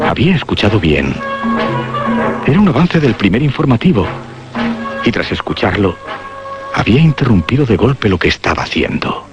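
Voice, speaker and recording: Deep, animated male suspense narrator. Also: dull audio. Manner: deliberate, suspenseful. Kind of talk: dramatic scripted narration of a suspense radio play. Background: music.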